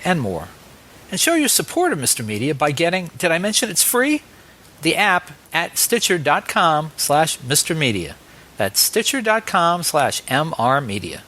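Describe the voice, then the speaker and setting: A man's voice: on the high side, fairly bright tone. A man with an American accent, hosting an interview show and reading out announcements and sponsor plugs. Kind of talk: podcast interview and sponsor reads.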